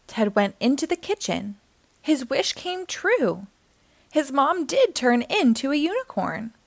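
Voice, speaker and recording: High, very animated woman reading to children. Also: unhurried, creaky, no echo. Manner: expressive, theatrical. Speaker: storytime host and reader. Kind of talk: children's picture book read-aloud.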